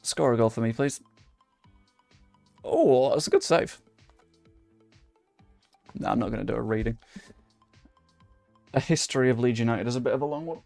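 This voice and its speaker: Brief: young guy, gravelly, dry close mic, casual gaming commentary with faint music under it. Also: mid-range voice, lively intonation, normal speed, pauses often, a little mellow, no echo. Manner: chatty, swears under his breath, talks to viewers and chat. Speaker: gaming YouTuber. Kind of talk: casual live commentary over a football management game.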